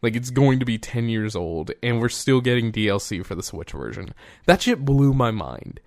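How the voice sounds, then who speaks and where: A man's voice: medium pitch, very animated, normal speed, dry recording, studio quality. A man in his twenties hosting a solo podcast, rambling casually, swearing freely and laughing at himself. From United States. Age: 20s. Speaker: podcast host.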